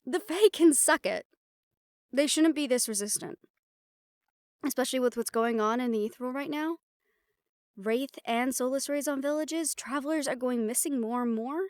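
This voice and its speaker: Woman acting high-voiced, teasing supernatural team member. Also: moderate pace, long pauses, dry recording, natural voice. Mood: frustrated. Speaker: voice actor in an audio roleplay. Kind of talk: fantasy audio drama roleplay, conversational acting.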